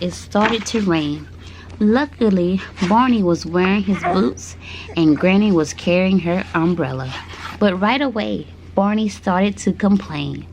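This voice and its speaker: A woman reading children's stories aloud to her baby, speaking gently and breaking off for playful asides. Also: medium pitch, a little hiss or hum, poor audio.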